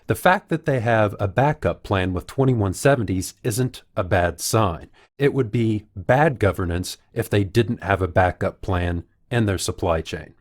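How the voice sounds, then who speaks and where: A man with a fairly low voice gives analytical commentary. He speaks in a measured, explanatory manner, like a video commentator walking listeners through a report. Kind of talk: analytical commentary, reading out quotes.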